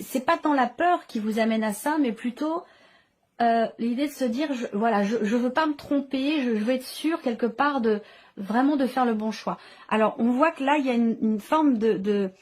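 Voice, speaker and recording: A woman giving an improvised tarot reading to viewers, explaining as she goes and often rephrasing as she searches for words. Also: fairly high pitch. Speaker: tarot reader and channel host.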